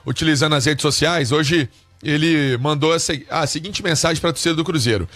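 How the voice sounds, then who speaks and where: A man's voice: on the high side, fairly quick, a bit bright, no echo. Dry-voiced male sports radio commentator. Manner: conversational, addresses co-host directly.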